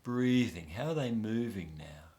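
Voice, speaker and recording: A man guiding a soft, soothing inner-child meditation with long pauses. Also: on the low side, expressive. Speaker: meditation guide.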